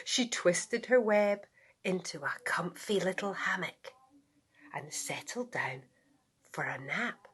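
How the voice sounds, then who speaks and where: Very slow, animated woman reading a bedtime story. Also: lowish voice, breathy edge. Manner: theatrical, drawn-out, with hushed whispers and acted yawns. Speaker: storybook reader, school staff member.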